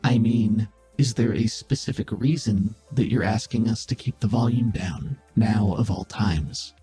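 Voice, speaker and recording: A man playing a calm, all-knowing, exacting overseer who asks measured, probing questions in a tabletop game. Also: on the low side, faint music behind, natural voice. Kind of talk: in-character roleplay in a tabletop game.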